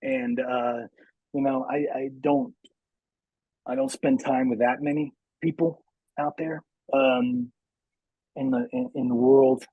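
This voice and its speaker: A man's voice: medium pitch, little pitch movement, slow pace, pauses often, husky, no echo, narrow, tinny audio. A man voicing a cocky, self-important action movie star from a video game, talking in a smug, soothing, condescending way.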